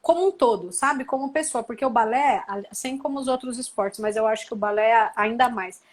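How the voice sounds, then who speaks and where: A Brazilian woman in her thirties, a guest on a live chat, speaks in a fairly high voice. She answers thoughtfully and keeps an even, measured pace. She checks in with her listener through frequent tag questions. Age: 30s. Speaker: guest, doctor and co-author. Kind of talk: casual interview answers.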